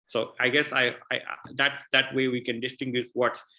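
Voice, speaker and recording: A man with an Indian accent and a bright, forward voice answers questions as a guest scientist. He explains earnestly and often restarts his sentences. He sounds like he is speaking through a computer mic on a video call. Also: medium pitch, flat delivery, moderate pace, low-quality recording. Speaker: guest researcher.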